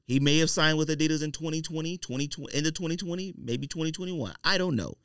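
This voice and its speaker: A man's voice: a little high, quick pace, fairly bright tone, clear, resonant tone, dry recording. An American man hosting a casual podcast debate, arguing emphatically and often cutting in. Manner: argumentative, emphatic, interrupting. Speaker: podcast host.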